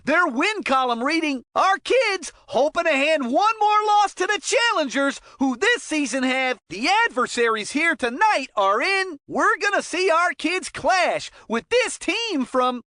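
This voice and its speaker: Excitable kid hockey announcer, cartoon game, very high pitch, swooping delivery, folksy, enthusiastic. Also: dry recording, studio quality, full character voice. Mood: announcing. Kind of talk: scripted sports commentary.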